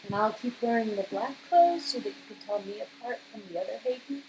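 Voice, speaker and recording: A woman's voice: fairly high pitch, lively intonation, brisk, darkish tone, a little hiss or hum, poor audio. A woman chatting informally and playfully as co-reader during a story game performance. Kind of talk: casual banter during a game read-through.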